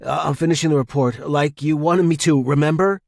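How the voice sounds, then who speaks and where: A man's voice: on the high side, fairly quick, gravelly, darkish tone, no echo, clean studio sound, voice pushed up. A man voicing a panicked, defensive, foul-mouthed male office worker in audio fiction, tense and snappish. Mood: taut, defensive. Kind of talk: audio fiction character dialogue.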